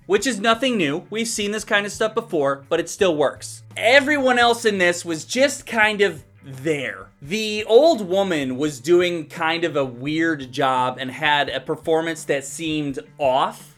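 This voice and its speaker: Higher-voiced man reviewing a movie. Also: fairly bright tone. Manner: conversational, blunt, swears casually. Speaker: film reviewer. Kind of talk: casual movie review commentary.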